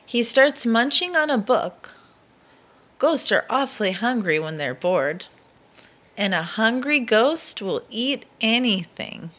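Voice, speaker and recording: A woman reads a picture book aloud for young children. Her pitch moves around a lot and she takes her time, placing dramatic emphasis on key words in an expressive storyteller's style. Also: takes it slowly.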